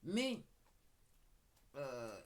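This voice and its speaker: A woman's voice: a bass voice, big pitch swings, extremely slow. A woman talking casually to her viewers, rambling with frequent "ok"s and now and then dropping to a murmur. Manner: rambling, repeats "ok", sometimes drops to a murmur; addresses viewers directly. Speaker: video creator. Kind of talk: casual talking-head monologue.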